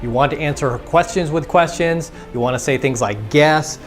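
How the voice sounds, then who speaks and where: A man's voice: medium pitch, fairly quick, soft background music. A man coaching as a dating instructor, talking casually, repeating his points and acting out snippets of dialogue. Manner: casual, repetitive, acts out quoted dialogue. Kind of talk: instructional monologue.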